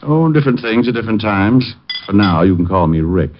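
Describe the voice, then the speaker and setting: An American actor playing a glib, sardonic, flirtatious private detective in 1940s radio drama style. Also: male voice, fairly low pitch, fairly quick, natural voice. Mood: flirty, teasing. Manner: glib, sardonic, deadpan wisecracks. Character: wisecracking, flirtatious, skeptical male private detective. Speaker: lead actor in a detective series. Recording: dull, noisy vintage radio recording with clicks.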